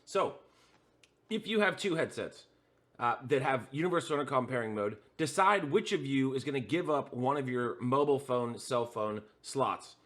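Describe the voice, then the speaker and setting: A man's voice: medium pitch, lively intonation, normal speed, fairly bright tone. A man hosting a hands-on tutorial, explaining a setup step by step with frequent "uh" fillers while pointing at the devices in front of him. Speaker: host.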